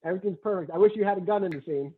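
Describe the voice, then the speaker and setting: A man's voice: high-pitched, rapid-fire. A man who wrote a screenplay, reading its stage directions and talking as narrator of the table read. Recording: tinny, phone-like call audio.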